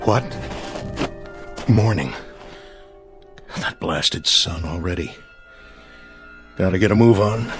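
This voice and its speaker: Over clear background music, a desperate, ruthless astronaut stranded and turned killer. His delivery is slow and weighty, with pitch that swings widely in full radio-play style. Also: heavily acted. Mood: weary, musing. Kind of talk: dramatic radio play acting.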